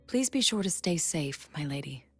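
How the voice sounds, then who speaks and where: Low-voiced, composed loyal attendant. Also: female voice, animated, moderate pace, a bit bright. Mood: calm, dutiful. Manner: formal, reassuring. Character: composed, deferential female attendant loyal to her lady. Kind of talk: video game story dialogue. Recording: music behind the voice.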